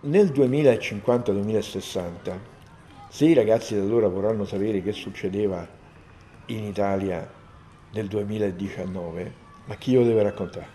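Low, mellow man with faint noise behind. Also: normal speed. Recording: field recording with faint ambient noise.

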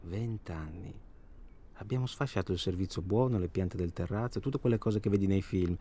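Chatty nervous middle-aged husband, lowish voice, radio play, rambles and repeats himself. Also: male voice, normal speed, dark tone. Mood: confiding, wry. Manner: hesitant, rambling, repeats himself, seeks agreement. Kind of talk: naturalistic radio drama acting.

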